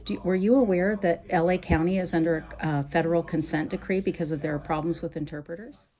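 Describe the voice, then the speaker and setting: A woman's voice: on the low side, fairly even intonation, brisk, dark tone. A woman asking friendly, conversational questions in a street interview.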